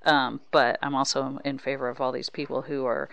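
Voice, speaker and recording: A woman hosts a casual sports conversation in a clear voice with a lot of vocal fry. She is hesitant and thoughtful, and the sound is close and dry. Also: extremely low pitch, level tone, a little fast, fairly bright tone, dry recording. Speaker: sports podcast host.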